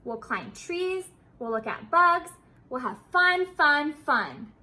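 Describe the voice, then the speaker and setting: Woman reading as an excitable high-voiced scheming schoolboy. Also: slow pace, full character voice. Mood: excited. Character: excitable young schoolboy, bold and scheming, in a storybook.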